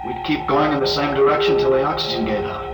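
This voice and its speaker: A stoic spaceman in a vintage radio drama, talking over an echoey radio link. He is resigned yet reassuring and protective. Loud music plays behind him. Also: medium pitch, fast. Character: stoic, protective spaceman steadying a younger crewmate. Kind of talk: old-time radio drama acting.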